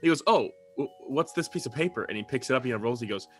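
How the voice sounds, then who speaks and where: A young man co-hosting a podcast, talking casually with friends in a halting, informal way with repeated words. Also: mid-range voice, expressive, a bit bright, soft background music. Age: young adult. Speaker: podcast co-host. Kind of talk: casual chat among friends.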